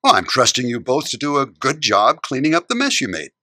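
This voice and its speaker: An actor playing a kindly, gently stern older man in a children's radio drama. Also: mid-range voice, huge pitch swings, dry recording. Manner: measured, theatrical, gently admonishing.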